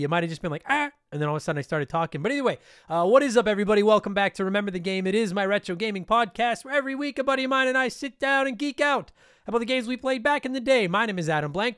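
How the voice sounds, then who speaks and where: A high-pitched man rattles through his podcast intro at a quick clip, sounding stuffed up from allergies. His voice is clean and smooth, recorded dry and close on a podcast mic, with a loose, rambling, profane way of talking. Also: animated, fairly bright tone, dry recording. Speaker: podcast host. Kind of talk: casual podcast intro monologue.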